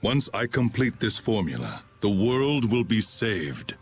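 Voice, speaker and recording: An actor playing a grave, aloof swordsman bitter about humanity, speaking in a solemn, formal, measured way in a video game. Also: fairly low pitch, sing-song, slight background noise. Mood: resolute, somber. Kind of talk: dramatic video game voice acting.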